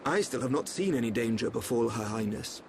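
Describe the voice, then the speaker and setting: A calm young royal seer from a fantasy game, speaks in a mid-pitched voice. He is formal and measured, choosing cryptic words as though he knows more than he tells. A faint noise sits behind him. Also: vocal fry. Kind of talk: scripted video game cutscene acting.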